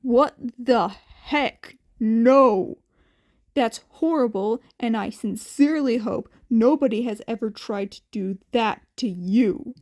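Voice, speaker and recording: A woman voicing a blunt, protective grown man in a podfic story, with a dry, deadpan manner. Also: on the high side, slow pace. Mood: appalled. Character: gruff, dry but protective adult man, hero.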